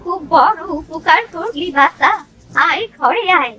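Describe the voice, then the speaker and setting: A woman's voice: extremely high pitch, animated, a little slow, bright, forceful tone, full character voice. A woman voicing a mysterious old village grandmother, coaxing and familiar, in a children's cartoon. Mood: plaintive, kindly. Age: older.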